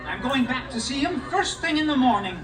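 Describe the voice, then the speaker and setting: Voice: high voice, animated, a little fast, crowd noise. A performer playing a harried, good-natured village father in a live puppet show, exclaiming and pleading in comic exasperation. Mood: exasperated. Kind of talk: live puppet show folk tale acting. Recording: echoey live show, distant mic.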